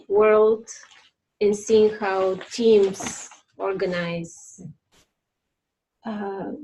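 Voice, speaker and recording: A woman introducing herself to a training group, pausing as she searches for words. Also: medium pitch, creaky, darkish tone, slight background noise, rough recording. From Bulgaria. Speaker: accountant, training participant. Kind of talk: reflective self-introduction.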